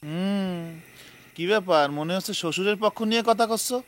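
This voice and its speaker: A man's voice: sits high, a little fast, natural voice. An actor playing a nosy, grumbling young village man in a comedy drama, needling. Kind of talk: Bengali TV comedy drama acting.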